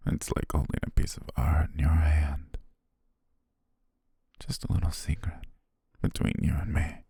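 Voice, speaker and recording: Man acting as obsessive yandere photographer, male, heavily creaky, very husky and breathy, dark, slow, intimate ASMR delivery, softly menacing. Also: extremely low pitch, completely flat delivery, no room sound, heavily acted. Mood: softly possessive. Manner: slow, hushed, lingering pauses.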